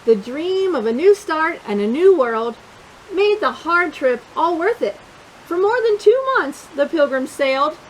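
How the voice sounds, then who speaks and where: A woman reading a picture book aloud for young children, expressive and encouraging, pausing to put questions to her listeners. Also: high-pitched, slight background noise, rough recording. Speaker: children's storytime reader.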